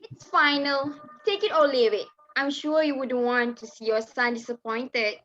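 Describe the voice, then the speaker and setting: A woman playing a haughty, boastful mother of high standing in a dramatised reading, with a smug, theatrical manner. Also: normal speed, a bit bright, highs cut off. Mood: smug, firm. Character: haughty, boastful Datin bargaining over her daughter's bride price.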